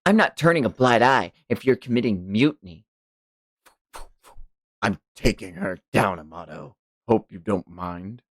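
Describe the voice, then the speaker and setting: A man voicing a cool, deliberate renegade ninja, terse and deadpan, in a manga read-along. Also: lowish voice, no room sound, clean studio sound. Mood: cool, resolute.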